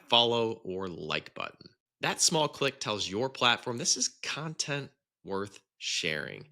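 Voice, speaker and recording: A man's voice: on the low side, sharp tone, no echo, clean studio sound. A man hosting a podcast, upbeat and enthusiastic as he welcomes and questions his guest. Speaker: podcast host.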